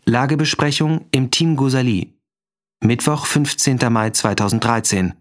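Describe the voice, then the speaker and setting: A man's voice: medium pitch, moderate pace, lightly acted. A man announcing scene headings in a radio drama, in a terse, scripted style like case-file entries. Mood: neutral. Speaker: announcer of chapters, dates and places.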